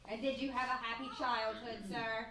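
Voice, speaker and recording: An actress playing a polite, earnest secretary, proper and deferential yet gently persistent, in a stage radio play. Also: female voice, fairly high pitch, flat delivery, moderate pace, natural voice. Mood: earnest, gentle. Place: playhouse stage. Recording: echoey hall, distant mic, faint noise.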